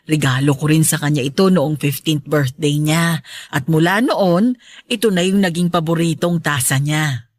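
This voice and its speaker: A woman's voice: low voice, moderate pace, no room sound, studio quality. A woman playing a caring but firm middle-aged mother in a radio drama, with a motherly, patient manner. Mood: fond.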